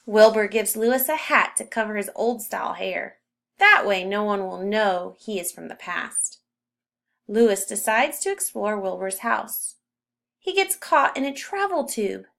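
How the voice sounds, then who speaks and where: A woman reads a children's storybook aloud in a narrating style. Her pitch sits in the middle and she stresses key words expressively, with a fairly gravelly creak in the voice. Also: lively intonation, measured pace, fairly bright tone. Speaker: storybook reader.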